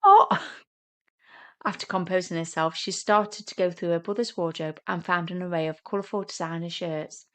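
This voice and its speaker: A woman's voice: on the low side, steady tone, brisk, few pauses. A woman reading scripted narration for a short audio story.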